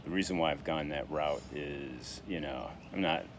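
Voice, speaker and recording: Very deep-voiced man in his sixties. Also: noisy background. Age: 60s. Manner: halting, many fillers and restarts. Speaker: vlogger. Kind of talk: casual vlog monologue.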